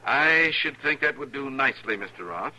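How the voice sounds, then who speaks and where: Courteous, lilting gentleman traveler. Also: mid-range voice, expressive. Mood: musing, polite. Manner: courteous, mannered, deliberate. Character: polite, musing, absent-minded gentleman traveler. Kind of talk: old-time radio western acting. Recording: faintly noisy old radio recording.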